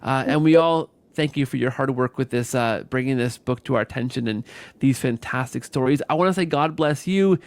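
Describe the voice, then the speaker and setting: A man's voice: medium pitch, fairly quick, no echo. A man hosting a conversational interview, effusive, repeating words and often tagging sentences with "right?". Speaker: podcast host.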